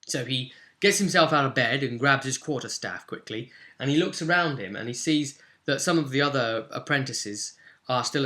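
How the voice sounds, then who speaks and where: A man recapping a tabletop roleplay session as game master, telling it informally with dramatic emphasis and suspenseful pauses. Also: medium pitch, sharp tone.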